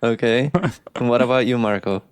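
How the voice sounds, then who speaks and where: A man's voice: mid-range voice, steady tone, normal speed, clear, resonant tone. A man hosting a podcast, easygoing and fond of joking with his guests. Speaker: podcast host. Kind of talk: casual podcast conversation.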